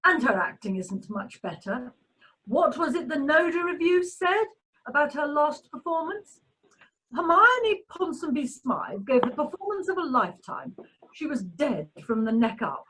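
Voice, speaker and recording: A woman's voice: high voice, very animated, a little slow, a bit bright, heavily acted, poor audio. A woman playing a catty, snobbish, dramatic society lady in a radio play, with an arch, exclamatory delivery. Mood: indignant, scornful. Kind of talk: amateur radio play acting.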